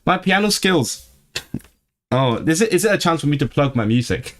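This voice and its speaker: A man's voice: a little high, lively intonation, normal speed, a bit bright. A man who is not a native English speaker, hosting a casual livestream and chatting with his viewers as he reads and answers the live chat. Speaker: livestream host.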